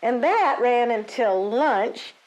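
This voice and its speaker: A woman's voice: on the high side, expressive, slow, a little mellow, muffled recording. A woman playing a chirpy, self-serving suburban club candidate in a comedic audio drama, rambling and blithely tactless. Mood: breezy, chatty.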